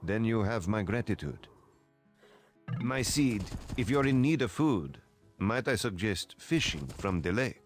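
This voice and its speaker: An actor playing a formal, courteous medieval ally who advises a knight in a strategy game. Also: male voice, fairly low pitch, big pitch swings, a little slow, over music. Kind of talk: scripted game dialogue.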